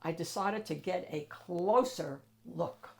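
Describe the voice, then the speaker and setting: A woman reads a children's picture book aloud as host, with expressive, dramatic emphasis. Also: fairly low pitch, slow pace, gravelly. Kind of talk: animated storybook narration for children.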